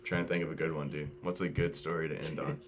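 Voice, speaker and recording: A man's voice: rumbling low, little pitch movement, quick pace. An eighteen-year-old man hosting a casual video chat, telling stories with friends in a slangy, informal way. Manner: slangy, informal, says dude and bro often. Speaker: host of a video podcast. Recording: noisy home-made recording.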